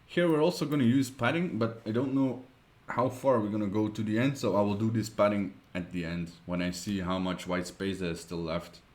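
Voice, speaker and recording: Deep-voiced Dutch man talking through a tutorial. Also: a little mellow. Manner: thinks aloud, slow and hesitant with many fillers. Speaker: web design tutor. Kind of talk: screen-recorded tutorial narration.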